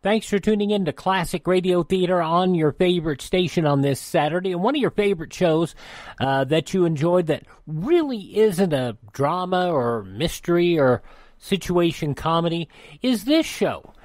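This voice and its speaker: A man introduces a classic radio show in a relaxed, slightly rambling tone. His voice sits fairly high. The studio sound is dry and close. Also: moderate pace, no room sound. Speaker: radio program host.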